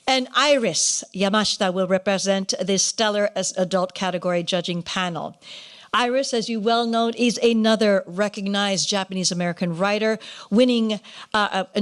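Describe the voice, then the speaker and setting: A woman with a mid-range voice emcees an awards ceremony before a live gathering. Her delivery is partly off the cuff, animated and playful, moving between formal announcements and loose asides. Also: mid-range voice. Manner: drops Japanese phrases into English.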